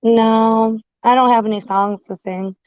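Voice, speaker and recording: A woman on a pagan livestream panel answers in short, low-key replies, her voice fading as she loses it. The tone stays smooth despite that. The dull video-call audio is dry with no room sound. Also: fairly even intonation, a little slow, dry recording. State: losing her voice. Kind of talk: casual group chat on a live video call.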